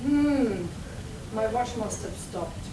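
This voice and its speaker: A woman's voice: fairly high pitch, lively intonation, slow. A woman playing a sarcastic, reluctant young adventuring heroine with dry delivery in a live amateur radio play. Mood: reluctant, feigned politeness. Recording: roomy, noisy live hall, distant mic.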